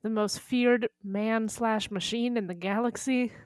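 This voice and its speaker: A woman's voice: fairly high pitch, steady tone, a little slow, a little mellow, no echo, clean studio sound. A woman chatting casually as a co-host on a podcast.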